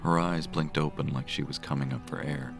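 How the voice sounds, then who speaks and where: A man acting a very deep, gravelly gentle cleric. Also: fairly even intonation, brisk. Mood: hushed, fond. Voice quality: deep, gravelly, soft murmur. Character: gentle, smitten male cleric with healing magic. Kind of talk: romantic audio drama, first-person narration and dialogue. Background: music.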